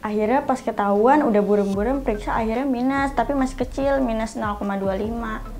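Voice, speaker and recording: A woman's voice: on the high side, a little fast, a little mellow, a little hiss or hum. A woman, chatting casually about herself. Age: 20s. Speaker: employee interviewee. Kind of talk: casual interview answers.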